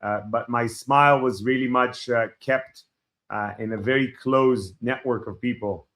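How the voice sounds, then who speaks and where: A man in his forties from Israel, a Hebrew speaker, telling his life story as a podcast guest in a reflective, halting way. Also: medium pitch, fairly even intonation, fairly bright tone. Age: 40s. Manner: reflective, halting starts with frequent fillers. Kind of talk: personal storytelling in an interview.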